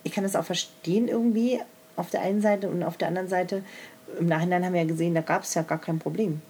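A woman's voice: fairly low pitch, steady tone, fast talker, warm tone. A woman, chatting casually and bluntly, swearing freely and teasing. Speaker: coach and organizer. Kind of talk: casual podcast chat.

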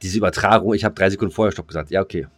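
A man's voice: sits low, rapid-fire, dry recording. A man chatting casually as a podcast co-host in a laid-back, slangy manner. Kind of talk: casual chat.

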